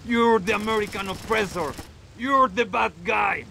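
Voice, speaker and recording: An actor playing a terrified captive guerrilla guard in a video game, pleading yet accusatory. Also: male voice, high-pitched, normal speed, vocal fry, pushed and bright, heavily acted. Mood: defiant. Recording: noisy game audio with ambience.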